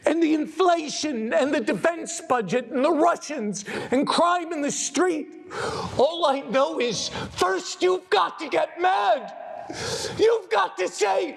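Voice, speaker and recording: An actor playing an enraged, fed-up TV news anchor who shouts at his viewers in a dramatic monologue. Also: very high-pitched, gravelly, full character voice. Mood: urgent. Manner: shouting, exhorting, repeating refrains. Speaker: stage actor.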